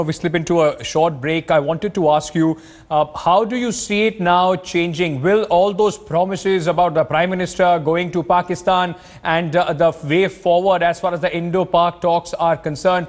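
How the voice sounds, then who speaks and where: A man with an Indian accent anchoring live television news and putting questions to guests. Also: sits high.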